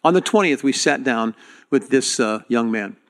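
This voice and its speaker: An earnest male pastor addressing his congregation in a sermon. His voice sits at an ordinary middle pitch, and he speaks directly to the people, often stopping to restart a phrase. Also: animated, normal speed, a bit bright.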